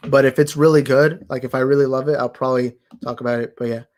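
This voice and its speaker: A young man hosting a movie talk livestream, tired and slightly raspy, rambling and thanking his viewers. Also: mid-range voice, fairly even intonation, fast, darkish tone. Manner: rambling, grateful, repeats himself. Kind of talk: casual livestream sign-off chat.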